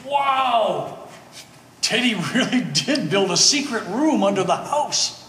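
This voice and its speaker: A man's voice: high-pitched, lively intonation, bright, forceful tone, recorded from a distance, exaggerated voice. Guy playing awkward nice family friend in a play, hesitant, roomy echo, faint noise. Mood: friendly, awkward. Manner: hesitant, halting. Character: apologetic, friendly Swedish-American male family acquaintance. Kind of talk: amateur staged play reading. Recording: echoey hall, faint background noise.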